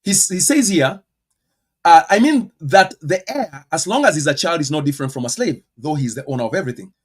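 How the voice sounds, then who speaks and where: A man with a Kenyan accent preaching a teaching sermon, stressing words and firing rhetorical questions, with sudden loud bursts and quiet asides. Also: on the high side, expressive, a little fast, husky. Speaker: pastor.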